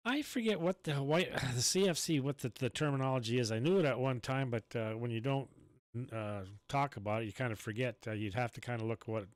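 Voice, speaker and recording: A man's voice: medium pitch, a little fast, dry recording. A man chatting casually as an amateur radio operator, in the manner of someone hosting an on-air contact. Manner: spells call signs in phonetic alphabet. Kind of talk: casual ham radio chat.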